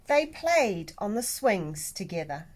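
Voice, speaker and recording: A woman reading a children's picture book aloud like a teacher, with deliberate, expressive emphasis. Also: medium pitch. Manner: animated, deliberate, with dramatic emphasis.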